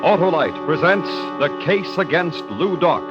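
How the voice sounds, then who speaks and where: American male announcer, 1950s radio style, higher-set voice, music clearly underneath. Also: lively intonation, measured pace. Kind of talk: 1950s scripted announcing of credits and previews. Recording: dull old radio recording.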